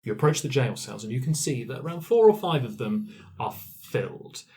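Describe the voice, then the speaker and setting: A man runs a tabletop fantasy game, describing scenes off the cuff. His voice is mid-pitched and highly animated, moving freely as he tells the story. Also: moderate pace. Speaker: game master.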